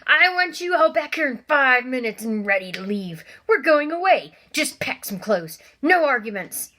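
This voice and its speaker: A woman's voice: sits high, big pitch swings, moderate pace, pitched above the performer's own voice, exaggerated voice. A woman voicing a blustering, angry middle-aged uncle in a storybook reading. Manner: shouting, huffy.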